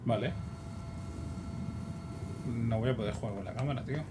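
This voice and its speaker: A man with a Spain Spanish accent giving casual, excitable commentary while he plays a game. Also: medium pitch, normal speed. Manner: chatty, excitable, talks to himself. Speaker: gameplay streamer. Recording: noisy recording.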